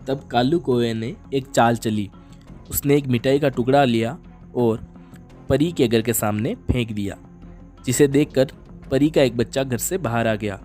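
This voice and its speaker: A man's voice: mid-range voice, a little mellow. A man telling a scripted children's animal story as its narrator.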